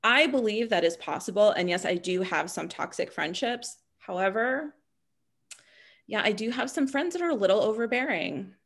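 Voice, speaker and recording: A woman improvising a comedy scene over a video call, speaking in a halting, reflective way. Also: mid-range voice, lively intonation. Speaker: improv performer. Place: small room at home.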